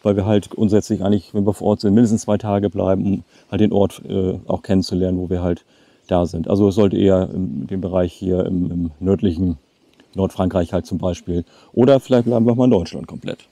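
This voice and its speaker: Low, very mellow man in his fifties. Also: steady tone, fairly quick, gravelly, no room sound. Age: late 50s. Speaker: travel vlogger. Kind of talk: casual chat to camera. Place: outdoors by a lake.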